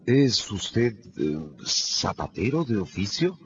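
An actor in a radio drama playing a courteous banker, asking questions gently and hesitantly. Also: male voice, on the low side, sing-song, takes it slowly, dull audio, heavy background noise, exaggerated voice. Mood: gently probing. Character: courteous, careful English banker.

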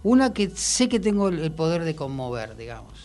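A man with a Rioplatense Argentine accent talking casually and reflectively in a radio interview, with halting, colloquial phrasing. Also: fairly high pitch, big pitch swings, darkish tone. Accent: Rioplatense Argentine Spanish. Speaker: musician and songwriter guest.

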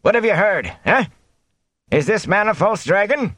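Man playing a shrill, sour old villager. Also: big pitch swings, moderate pace, dry recording, heavily acted. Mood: angry, stubborn. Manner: sour, loud, challenging. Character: sour, defiant old village thatcher, quarrelsome elder.

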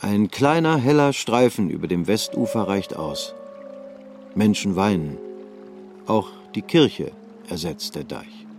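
Low-voiced male drama narrator. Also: animated, over music. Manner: measured, unhurried storytelling. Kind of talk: literary radio drama narration.

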